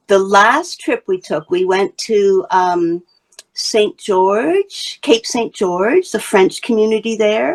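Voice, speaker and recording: A woman's voice: medium pitch, vocal fry, darkish tone, low-quality recording. A woman from Wales, a basketry artist and teacher, chatting in an interview while she shows and explains her work. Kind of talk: casual interview talk.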